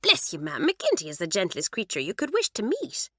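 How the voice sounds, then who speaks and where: A woman's voice: medium pitch, wildly swooping pitch, fairly quick, bright, forceful tone, no echo, studio quality, exaggerated voice. A woman narrator voicing a cheerful, cheeky Irish schoolgirl with a lilting Irish accent.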